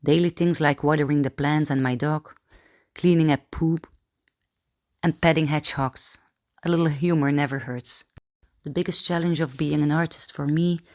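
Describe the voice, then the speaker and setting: A woman from Belgium, a painter calmly reading a personal statement about her work. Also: sits low.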